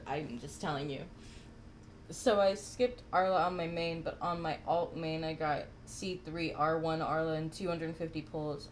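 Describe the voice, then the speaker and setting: A woman's voice: on the low side, slight background noise. A woman casually commentating a game livestream and chatting with viewers, enthusiastic and full of gamer slang. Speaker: game streamer.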